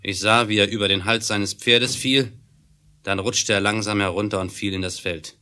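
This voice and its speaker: Casting: cool-headed frontier shooter narrating for radio drama, somewhat low, sharp-edged voice. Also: male voice, steady tone, normal speed. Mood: grim, calm. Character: cool-headed western gunman recounting a shooting. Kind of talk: German 1970s radio play storytelling.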